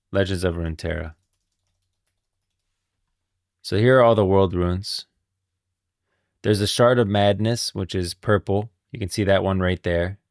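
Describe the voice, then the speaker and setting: A man's voice: low-pitched, no echo, clean studio sound. A man hosting a livestream, talking casually about game lore and rambling as he thinks aloud. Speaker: livestream host.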